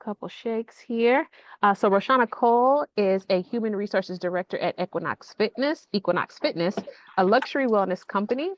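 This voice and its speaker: A woman's voice: mid-range voice, slight background noise. A woman hosting a webinar, welcoming a guest and reading her bio aloud. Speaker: host. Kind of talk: introducing a guest. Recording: dull, dry lo-fi sound.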